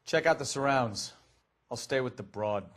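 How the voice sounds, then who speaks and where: An actor playing a crude, needling American police detective in a period crime game, blunt and condescending. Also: male voice, mid-range voice, normal speed, a bit bright, a little hiss or hum, lightly acted. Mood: brusque. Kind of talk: dramatic video game acting.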